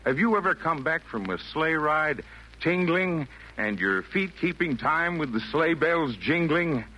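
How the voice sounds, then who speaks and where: A man's voice: fairly high pitch, very animated, unhurried, sharp tone, full character voice. An actor in a 1940s radio play voicing a kindly, jolly old man with genial, lilting, theatrical delivery of rhymed verse. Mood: jolly, wistful. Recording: noisy vintage 1940s radio broadcast.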